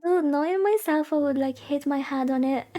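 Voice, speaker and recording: A woman's voice: high-pitched, moderate pace, warm tone. A woman streamer reading a visual novel aloud in a soothing way, with cutesy, gushing chatty asides. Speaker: VTuber streamer.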